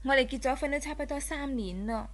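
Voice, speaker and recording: An actress playing a gentle, devoted and coy young wife in a radio drama, soothing in manner. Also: female voice, high-pitched, fairly quick. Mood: gentle, reassuring. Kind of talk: Cantonese radio drama acting.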